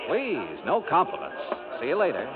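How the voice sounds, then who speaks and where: A man's voice: a little high, huge pitch swings, normal speed. A man with an American accent playing a dogged, wry insurance investigator in a radio noir drama, with clipped, dry delivery. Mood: dryly polite. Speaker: lead detective and narrator. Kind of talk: 1950s radio noir acting. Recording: tinny old radio broadcast, music behind.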